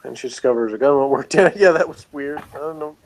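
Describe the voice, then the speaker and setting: A man's voice: on the high side, brisk. A man chatting casually as he reviews TV, often chuckling as he talks. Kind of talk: casual conversational review chat.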